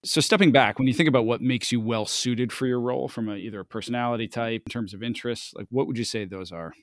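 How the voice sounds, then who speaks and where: A middle-aged man, an analyst coach, hosting an interview in a measured, steady, professional way. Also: mid-range voice, no room sound, clean studio sound.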